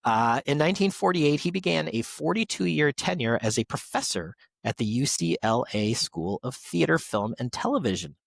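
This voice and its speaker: A man's voice: mid-range voice, animated, moderate pace, creaky, few pauses. A male podcast host with a mid-range voice reads semi-scripted tributes. He delivers them like an announcer, with an enthusiast's personal touch. The sound is dry, with no room echo. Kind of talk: reading birthday tributes to actors, semi-scripted podcast segment.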